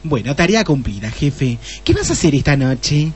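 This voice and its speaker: Casting: excitable Argentine female assistant, raspy and highish, slangy exclamations, comic radio play. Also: moderate pace, faint music behind. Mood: cheery. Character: chatty, brash, naive female sidekick, excitable. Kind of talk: comedic radio drama acting.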